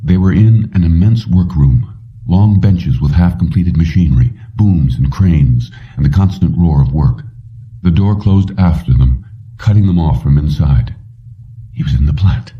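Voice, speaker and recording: A man reading a suspense story as an audiobook narrator, in measured, dramatically broken phrases. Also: extremely low pitch, far from the mic, highs cut off.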